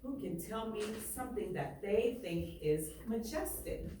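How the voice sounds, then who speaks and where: A woman's voice: medium pitch, animated, measured pace. A woman teacher reading aloud to a class, speaking emphatically and often checking in with her listeners. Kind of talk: expressive classroom read-aloud with questions to pupils. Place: echoey classroom, mic at a distance. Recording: roomy echo, distant mic, faint noise.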